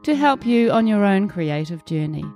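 A woman's voice: mid-range voice, very animated, moderate pace, a little mellow, soft background music. A New Zealand woman in her forties, a podcast host talking casually and reflectively about her life, with many fillers. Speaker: podcast host being interviewed. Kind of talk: casual conversational interview.

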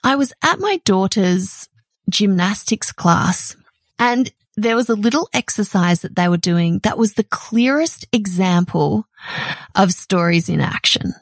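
A middle-aged woman hosting a solo podcast, talking in an animated, chatty way with a loud voice and frequent laughs. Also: medium pitch, unhurried, dry recording. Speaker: podcast host.

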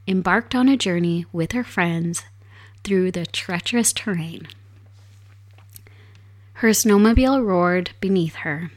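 A woman with a hoarse, raspy voice from a cold takes her time with every phrase. Her pitch sits a little low, and she talks softly and without hurry, as if reading a tale at home. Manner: soft, unhurried storyteller. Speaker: podcast host. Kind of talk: casual chat and storytelling. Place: home desk set up for streaming.

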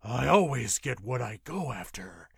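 A man's voice: mid-range voice, sing-song, unhurried, vocal fry, slightly rough, no echo, clean studio sound, heavily acted. A man voicing a brutal, self-important male guardsman in an audiobook, growling and domineering. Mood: menacing. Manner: bellowing, domineering.

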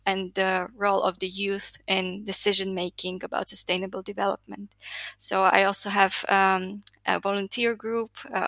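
A young woman answers interview questions in a bright, forward voice with some creak at the edges. Her intonation stays fairly even, and she phrases things earnestly and articulately, in formal vocabulary. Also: mid-range voice, dry recording. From Slovakia. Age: 20s. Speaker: podcast guest, youth leader.

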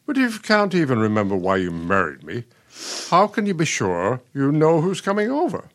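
Actor as an animated, testy elderly husband. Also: male voice, on the high side, moderate pace. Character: exasperated retired dean, smug but caring elderly husband. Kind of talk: radio play acting.

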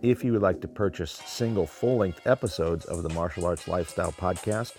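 An American man with a fairly low voice, hosting a podcast. He speaks as an interviewer and presenter, and music can be heard in the recording. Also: fairly quick. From American Midwest. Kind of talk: casual interview chat, with a read-out intro.

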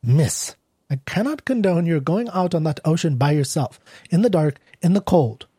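A man's voice: a little high, lively intonation, moderate pace, dark tone, breathy edge, no room sound, pitched above the performer's own voice. Guy doing a snooty male hotel clerk in an audiobook, formal, curt, disapproving, very dry sound. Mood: stern warning. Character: stern, disapproving tall hotel front-desk man. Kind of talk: dramatized storybook reading.

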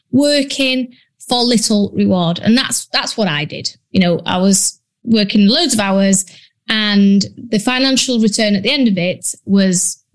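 Chatty Yorkshire woman, fairly high voice. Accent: Yorkshire English. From Yorkshire, northern England. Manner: blunt, chatty, frequent ums and British slang. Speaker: business coach. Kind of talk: casual solo podcast talk.

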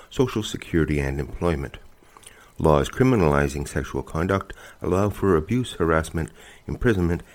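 A man reading a scripted introduction to a news magazine episode in a measured, deliberate way. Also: a bass voice, a little mellow. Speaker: show announcer.

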